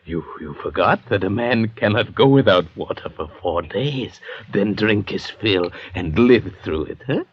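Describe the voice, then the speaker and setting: An actor playing a ruthless castaway doctor who taunts and mocks in an old-time radio drama. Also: male voice, on the low side, animated, measured pace, few pauses. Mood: gloating, mocking. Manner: taunting, mocking, laughing drawl. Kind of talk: golden-age radio drama acting. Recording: muffled vintage radio recording.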